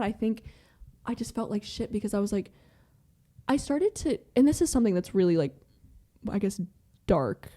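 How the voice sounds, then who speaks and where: Young woman rambling candidly, mellow voice. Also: medium pitch. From American with Iranian family. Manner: fast rambling talk, heavy use of "like" and swearing. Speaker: podcast guest. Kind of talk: candid casual conversation.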